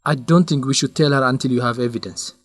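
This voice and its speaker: A man's voice: mid-range voice, fast talker, no room sound, lightly acted. Radio drama sidekick, male actor: young, cautious, earnest, mid-range voice, very dry sound. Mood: cautious, wistful. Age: young adult.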